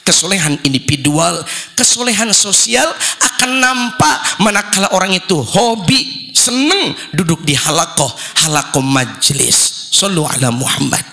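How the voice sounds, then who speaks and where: A man, hoarse after losing his voice, preaching a comic sermon and calling out questions to the congregation. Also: high voice, measured pace, soft background music. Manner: joking, lively, calls out questions to the crowd. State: hoarse, recovering from lost voice. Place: religious gathering.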